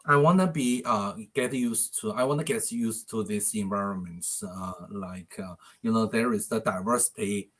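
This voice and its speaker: A man whose first language is Chinese speaks halting English over a video call, often pausing to search for words. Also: fairly low pitch, a bit bright. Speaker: English learner. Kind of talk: casual video-call conversation.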